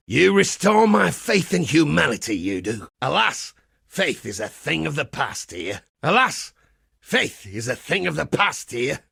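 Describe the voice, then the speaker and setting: A man playing a zealous, battle-hardened crusader in a video game, with a gravelly rasp and a blunt, wry, preacherly way of talking. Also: fairly high pitch, a bit bright, no echo, clean studio sound, exaggerated voice. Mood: gleeful zeal, then rueful. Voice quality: gravelly, rasping. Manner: preacherly, blunt, wry, archaic turns of phrase. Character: zealous battle-hardened crusading holy warrior, grimly cheerful, foul-mouthed. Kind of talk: theatrical video game character dialogue.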